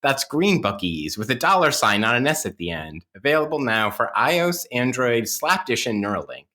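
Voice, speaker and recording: A man reads a tongue-in-cheek sponsor spot for a podcast. His bright voice swings up and down in an upbeat, exaggerated salesman delivery. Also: medium pitch, sing-song, moderate pace. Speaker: podcast host reading a spoof sponsor ad. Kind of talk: upbeat scripted mock advertisement.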